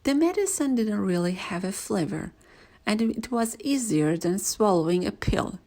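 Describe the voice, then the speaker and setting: A woman reading a first-person children's story aloud in an expressive, animated storytelling manner. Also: medium pitch, normal speed.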